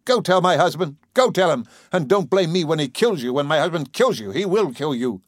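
A man's voice: medium pitch, normal speed, no echo, clean studio sound, pitched above the performer's own voice, lightly acted. A man reading an audiobook, voicing an indignant young woman who stands up defiantly to a bully. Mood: enraged. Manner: defiant, stammering under pressure.

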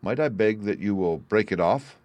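A shrewd gentleman detective from a classic storybook, as a male reader acts him. He questions with brisk courtesy and assured authority. The sound is dry and free of room echo. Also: on the low side, lively intonation, natural voice.

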